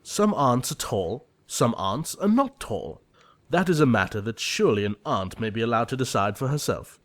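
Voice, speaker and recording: A man acting an earnest, easily exasperated young English gentleman in an audio play of a period comedy, formal and emphatic. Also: medium pitch, sing-song, normal speed, lightly acted. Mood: defensive, impatient.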